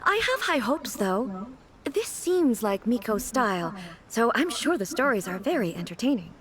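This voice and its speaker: A woman's voice: fairly high pitch, very animated, normal speed. A woman voicing a stately female ruler-deity in a video game, formal and earnestly literal. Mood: composed. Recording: game audio with music behind.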